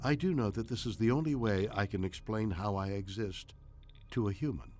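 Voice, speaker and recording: An actor playing an ancient, enigmatic alien entity in a game, speaking calmly and formally in measured, cryptic lines. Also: male voice, fairly low pitch, soft background music, close to an everyday voice. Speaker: voice pack character. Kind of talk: scripted game dialogue.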